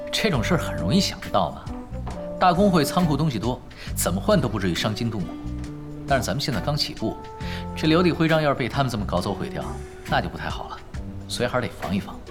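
A man's voice: medium pitch, sing-song, over music, natural voice. A man playing a laid-back, shrewd young pro gamer in an audio drama, talking casually and wryly. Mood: easygoing. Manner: casual, unhurried, wry.

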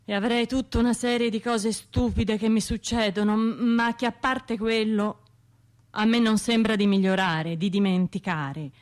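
An actress playing a troubled, hesitant bombing survivor in a radio drama monologue, speaking in a halting, self-questioning, conversational way. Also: female voice, on the high side, steady tone, lightly acted. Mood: hesitant, apologetic.

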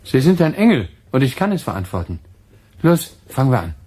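An actor playing a fussy, cheerful radio drama editor, talking in a conversational, deliberating way in a radio play. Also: male voice, medium pitch, very animated, a little fast, mellow, a little hiss or hum, lightly acted. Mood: eager. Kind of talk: naturalistic German radio play dialogue.

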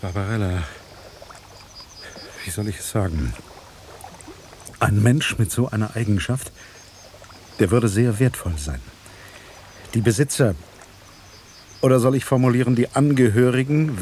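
An actor playing a frustrated, skeptical investigative journalist in a German radio play, speaking in probing, clipped questions. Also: male voice, mid-range voice, expressive, unhurried, pauses often, darkish tone, a little hiss or hum, lightly acted. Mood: urgent, brooding.

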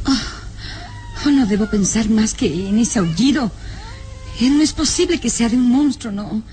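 A woman's voice: a little high, moderate pace, warm tone, lightly acted. A woman playing a frightened young noblewoman in radio melodrama, hesitant and anxiously repeating herself over music. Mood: anxious, self-reassuring. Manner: hesitant, breathless exclamations and anxious repetitions. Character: frightened, beautiful young noblewoman struggling to reason away fear. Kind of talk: old Latin American radio serial melodrama. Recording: music behind the voice.

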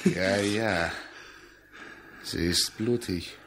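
An actor in a German radio drama playing a wary, gloomy swamp hunter who speaks in terse, brooding lines. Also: male voice, sits low, extremely slow, fairly bright tone, heavily acted. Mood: brooding, sullen.

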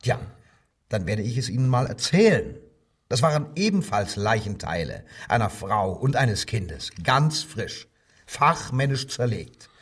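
Husky, needling police detective, slightly distant. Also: male voice, mid-range voice, big pitch swings, measured pace, heavily acted. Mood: mocking, needling. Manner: insistent, sardonic, interrogating. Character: male wartime German police detective, needling, coldly insistent. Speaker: actor. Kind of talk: radio crime drama acting.

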